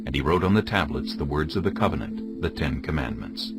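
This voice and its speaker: A man with a very deep voice narrates scripture from a script. His intonation moves widely as he tells the story, and music plays clearly underneath him. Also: lively intonation, a little fast. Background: music bed.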